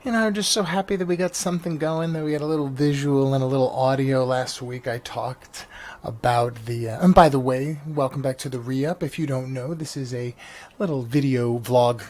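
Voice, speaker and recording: A man's voice: medium pitch, fairly even intonation, normal speed, a little mellow. A man from New York, a stand-up comedian hosting his own vlog podcast, rambling casually with lots of restarts and fillers. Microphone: janky mic. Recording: faint engine noise behind. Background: engine noise.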